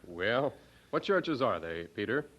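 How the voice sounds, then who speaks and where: An actor playing a kindly older seminary dean in a radio drama, speaking in a deliberate, avuncular way. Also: male voice, mid-range voice, sing-song, lo-fi sound. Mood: kindly, thoughtful.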